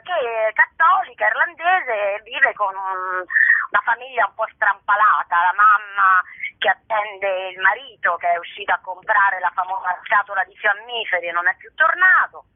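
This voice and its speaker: A woman's voice: mid-range voice, expressive, moderate pace, dry recording. A woman chatting spontaneously in an interview as an actress, digressing and searching for words, speaking over the phone. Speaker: actress and artistic director interviewed about her show. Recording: thin, band-limited phone line.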